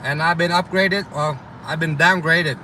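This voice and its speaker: Bright-voiced man with a slight Vietnamese accent. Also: a little high, steady tone, measured pace, a little hiss or hum. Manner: loose and rambling, lots of ums and uhs. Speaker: delivery driver streaming to viewers. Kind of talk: casual live chat reading viewer comments.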